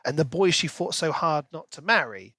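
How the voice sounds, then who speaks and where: A man's voice: on the high side, expressive, normal speed, pushed and bright, no echo, studio quality. A man co-hosting a casual book discussion, talking in an enthusiastic, speculative and conversational way. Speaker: podcast co-host.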